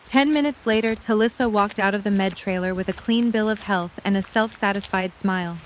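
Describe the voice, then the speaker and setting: A woman narrating a fan audio drama in a calm, even storytelling tone. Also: medium pitch, brisk, slight background noise, rough recording. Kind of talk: scripted narration.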